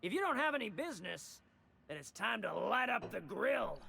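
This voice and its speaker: A man's voice: high voice, big pitch swings, gravelly, noisy background, full character voice. A man playing a grandiose, taunting outlaw gang boss who styles himself a king, theatrical video game villain dialogue. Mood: taunting menace. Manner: grandiose, taunting, drawn-out delivery.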